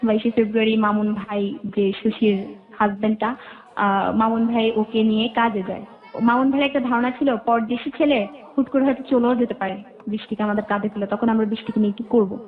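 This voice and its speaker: A woman's voice: fairly high pitch, steady tone, fairly quick. A woman with a Bangladeshi accent telling her life story as an interview guest, in detail and with remembered dialogue. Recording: muffled, narrow phone-like band.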